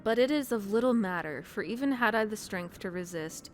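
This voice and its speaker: A woman's voice: mid-range voice, expressive, fairly quick, soft background music, lightly acted. A woman voicing an ancient, proud female dragon burdened by guilt, speaking in grave, archaic phrases for a fantasy game. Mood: grave, resigned. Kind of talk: fantasy game dialogue reading.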